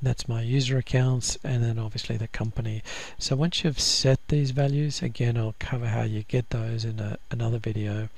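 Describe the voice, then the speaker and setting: A man explains a software setup step by step from his desktop computer. His voice is gravelly with plenty of fry, and it stays flat and even. He talks casually and fills gaps with ums. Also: medium pitch, moderate pace. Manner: casual, with frequent ums and restarts. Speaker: software trainer. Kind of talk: screen-recorded software tutorial.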